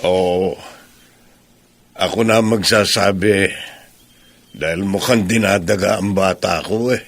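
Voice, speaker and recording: Kindly middle-aged boss, Filipino accent, friendly, low and unhurried, radio drama. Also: male voice, a bit bright, no room sound, full character voice. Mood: calm, confiding. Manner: unhurried, friendly, mixes English into Tagalog. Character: relaxed, kindly male boss playing matchmaker. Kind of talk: Tagalog radio drama acting.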